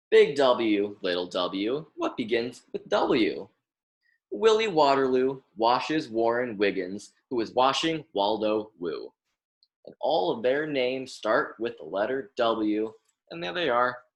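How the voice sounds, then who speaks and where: Young American man reading aloud, slow and animated. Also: mid-range voice. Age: young adult. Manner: instructive, repeats and emphasises words for young children. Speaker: graduate student reading aloud to children. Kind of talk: children's picture-book read-aloud lesson.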